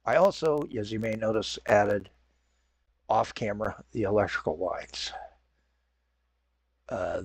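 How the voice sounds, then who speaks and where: A man's voice: fairly low pitch, no room sound. A man hosting a casual game commentary, stuffed up from a head cold with a raw throat, rambling and correcting himself as he plays. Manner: rambling, self-correcting, apologetic. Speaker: gaming channel host. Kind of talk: casual let's-play commentary.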